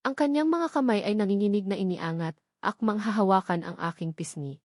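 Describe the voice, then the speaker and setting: A woman's voice: medium pitch, a little fast, a little mellow, no room sound. Lady narrator doing dramatic romance storytelling, really dry recording.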